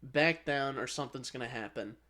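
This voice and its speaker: A man's voice: mid-range voice, brisk, bright, forceful tone. An American man chatting casually as a podcast co-host. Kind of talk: casual chat.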